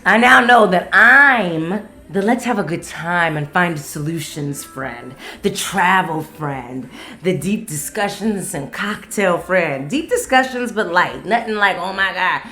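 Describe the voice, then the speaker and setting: A woman's voice: lowish voice, lively intonation, measured pace, pushed and bright, soft background music. A woman hosting a solo podcast in a casual, candid, playful way, swearing freely. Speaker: podcast host.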